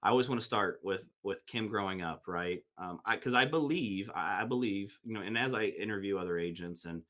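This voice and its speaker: A man's voice: on the low side, brisk, a bit bright. A man hosting a podcast, chatting casually and rambling with many fillers and restarts. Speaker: podcast host. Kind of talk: casual interview chat.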